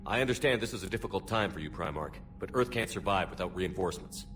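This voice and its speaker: Terse, firm space commander, very deep voice, music under. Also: male voice, expressive, fast talker. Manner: terse, firm, low-key. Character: hard-edged male starship commander. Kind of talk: video game voice acting. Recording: game cutscene with music.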